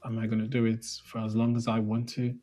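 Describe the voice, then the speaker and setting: A man's voice: fairly low pitch, monotone, fast talker, dark tone. A young man hosting a casual interview, chatty and friendly, dropping short acknowledgements while his guest talks. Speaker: interviewer host.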